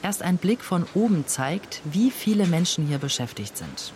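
A man giving calm, measured, scripted narration for a documentary report. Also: high voice, slight background noise.

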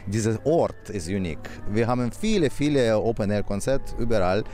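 Conductor speaking halting. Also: male voice, on the low side, animated, warm tone, soft background music. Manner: halting, searching for words, repeats himself. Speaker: chief conductor. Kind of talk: interview soundbite.

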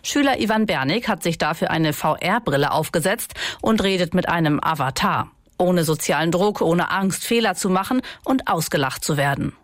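Female tv reporter voice-over, lowish voice, dry studio sound, measured. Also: lively intonation, dry recording. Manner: measured, clear voice-over delivery. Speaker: TV news reporter. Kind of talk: scripted news narration.

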